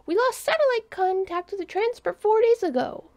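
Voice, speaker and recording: A woman's voice: very high voice, normal speed, a little mellow, pitched above the performer's own voice. A woman voicing a tired, stubborn human man explorer with a folksy, defensive manner in a storybook narration. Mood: explaining.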